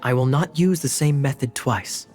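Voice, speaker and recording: A man voicing a suave, teasing young knight captain in a video game, speaking smoothly and playfully. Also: medium pitch, moderate pace, a little mellow, natural voice. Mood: gracious. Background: game music.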